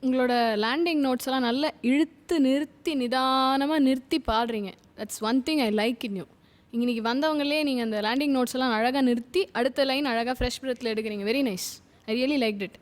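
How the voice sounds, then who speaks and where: High-pitched woman singing judge. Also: moderate pace. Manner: appreciative. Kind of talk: informal feedback to a contestant.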